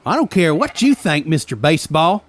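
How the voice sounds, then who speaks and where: A man's voice: a little high, sing-song, moderate pace, pitched above the performer's own voice. A man voicing a cocky, combative young farmhand with defiant rustic talk in an audio story. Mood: defiant, scornful. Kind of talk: audio story character dialogue.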